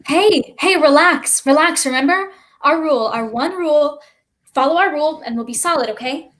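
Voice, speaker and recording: Woman playing a cautious, high-voiced child. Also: normal speed, fairly bright tone. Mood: urgent, calming. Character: cautious, quick-witted child stowaway, the level-headed one. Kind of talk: staged reading of a play over video stream.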